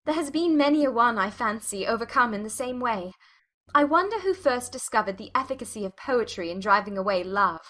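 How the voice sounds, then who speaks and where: A woman voicing a witty, impatient young gentlewoman in a dramatized audiobook, arch and teasing. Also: fairly high pitch, expressive. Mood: impatient, ironic. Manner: arch, quick-witted, teasing. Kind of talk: dramatized audiobook character reading.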